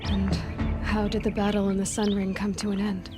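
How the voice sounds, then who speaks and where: A woman's voice: mid-range voice, monotone, moderate pace, close to an everyday voice. An actress playing a determined, self-assured young woman hunter, the direct and questioning heroine of a video game. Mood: sympathetic. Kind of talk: dramatic video game dialogue. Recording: loud music behind the voice.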